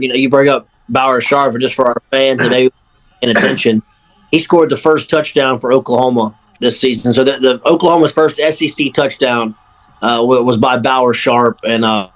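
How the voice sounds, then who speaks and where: A man's voice: medium pitch, steady tone, a little fast, a bit bright, low-quality recording. A man chatting casually and unscripted as a panelist on a sports radio show.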